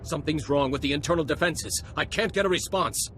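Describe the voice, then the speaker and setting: A man's voice: on the high side, brisk, background noise, close to an everyday voice. A man playing a nervous cybernetic technician, anxious and deferential, in a scripted game cutscene. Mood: alarmed.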